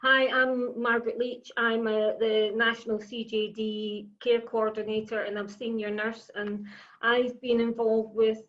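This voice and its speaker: A woman speaks in a level, flat tone through dull, lo-fi call audio. She introduces her work in a matter-of-fact way. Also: fairly high pitch, a little slow. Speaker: national care coordinator, senior nurse. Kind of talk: casual video call introduction.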